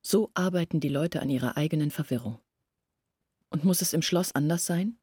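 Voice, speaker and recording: An actress playing an anxious, reflective village woman in a literary radio play, earnest and deliberate, with a husky, breathy voice. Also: low voice, mellow, no room sound, clean studio sound. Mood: reflective, sceptical. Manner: earnest, deliberate, searching, questioning herself. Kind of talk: literary radio play acting, long monologues. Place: dry studio.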